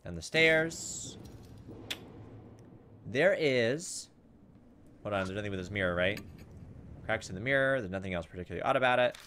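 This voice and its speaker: A man's voice: on the low side, expressive, moderate pace, pauses often, pushed and bright, a little hiss or hum. A man giving casual gameplay commentary as a let's play host, thinking aloud in a hesitant, musing way.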